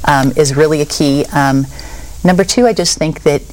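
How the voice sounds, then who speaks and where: A woman's voice: low voice, normal speed, a little mellow, poor audio. A woman guest in an interview, talking earnestly with many ums and you-knows. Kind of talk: casual interview talk.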